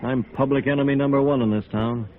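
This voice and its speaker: A man's voice: medium pitch, fairly even intonation, fast, a little hiss or hum, natural voice. An American man playing a bitter, beaten-down builder in a 1950s radio drama, wry and resigned. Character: bitter, beaten-down male builder turned outcast. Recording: thin, tinny old radio recording.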